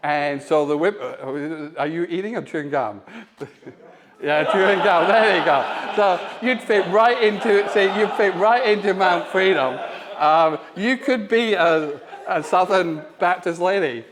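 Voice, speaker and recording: A middle-aged man giving an informal church teaching talk, pausing often with ums and now and then laughing along with the group. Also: sits high, crowd noise. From born in Scotland, raised in Melbourne. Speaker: guest teacher. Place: church hall.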